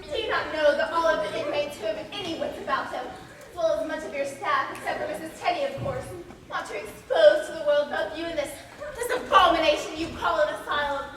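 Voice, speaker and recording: A woman's voice: sits high, brisk, a bit bright, a faint crowd. A woman on a school stage playing a proud, defiant wife confined in an asylum, speaking formally and emphatically. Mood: indignant. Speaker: student stage actor. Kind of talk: high school stage play acting. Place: large indoor stage, recorded from far off. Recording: echoey noisy stage hall, far mic.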